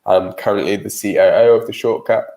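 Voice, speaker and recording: A man's voice: on the low side, steady tone, a little fast, warm tone. A British man with a cold and a nasal voice, giving a live-streamed career webinar presentation with frequent ums.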